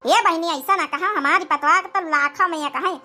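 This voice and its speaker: A woman voicing a scheming, flattering older village mother-in-law in a comedy cartoon, with an Awadhi accent and wheedling, rustic chatter. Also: extremely high pitch, normal speed, slight background noise. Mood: gossiping.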